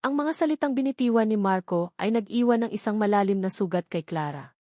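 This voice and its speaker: A woman narrator with a Filipino accent reads a dramatic love story. Her pitch sits in the middle range for a woman. The recording is very dry, with no room sound around the voice. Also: lively intonation, brisk, warm tone, dry recording.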